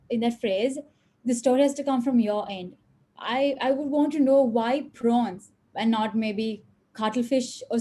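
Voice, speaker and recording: Woman host, higher mellow voice, formal scripted interviewer. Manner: formal, measured. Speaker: webinar host. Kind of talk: scripted introduction and interview questions. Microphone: video call.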